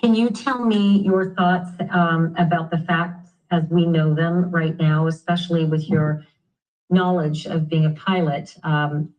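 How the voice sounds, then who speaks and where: A man conducting a live news interview as anchor, halting and tentative, sounding muffled and distant. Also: a little high, fairly even intonation.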